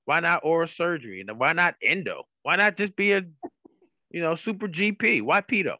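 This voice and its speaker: Bright-voiced man hosting a podcast. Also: on the high side, animated, a little fast, no echo. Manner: emphatic, repeats phrases. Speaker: podcast host and dental career coach. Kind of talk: upbeat podcast hosting and interviewing.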